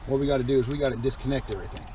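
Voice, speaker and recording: A man's voice: medium pitch, steady tone, racing, warm tone, a little hiss or hum. A man giving a folksy, informal hands-on tutorial in a casual, reassuring way while working in a vehicle. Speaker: DIY vlogger demonstrating a car repair. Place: inside or beside a Jeep.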